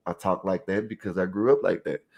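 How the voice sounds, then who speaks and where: A man with a very low, deep voice hosts a casual call-in stream. He reads the chat aloud and talks straight to viewers in loose slang, rambling and restarting his sentences. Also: fairly quick, vocal fry, darkish tone, highs cut off. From Florida, US. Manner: loose street slang, frequent "you know what I mean" fillers. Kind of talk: casual chat reading out live chat.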